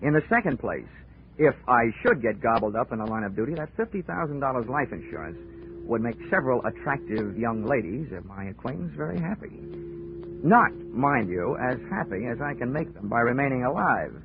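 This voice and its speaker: An actor playing a cocky, wisecracking insurance investigator who narrates wryly in a 1940s radio drama. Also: male voice, medium pitch, sing-song, brisk. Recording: tinny old 1940s radio recording with music.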